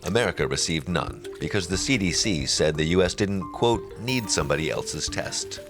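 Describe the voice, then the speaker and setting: A man's voice: low-pitched, lively intonation, normal speed, music in the background. A man reading scripted narration for a news documentary.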